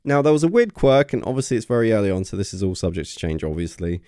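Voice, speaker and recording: Male gaming host, lowish pitch, excitable rambler, slightly tired voice, close dry mic. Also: fast, no room sound. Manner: enthusiastic, rambling with many fillers and restarts. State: voice getting worn. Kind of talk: casual vlog commentary.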